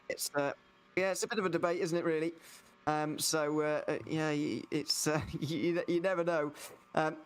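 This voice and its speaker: A man's voice: high-pitched, a little hiss or hum. A man co-commentating a sim race live, hesitant with many fillers before he picks up the call.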